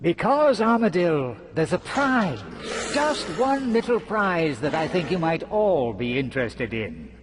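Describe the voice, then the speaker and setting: An actor playing a gleeful, taunting schemer god in a fantasy video game, with mocking, theatrical delivery. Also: male voice, a little high, big pitch swings, measured pace, a little hiss or hum. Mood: sly, grandiose.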